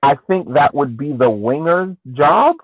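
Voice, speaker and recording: A man's voice: a little high, animated, measured pace. A man on a sports podcast panel, casually debating and analysing a game over a tinny call connection. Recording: tinny phone-like call audio.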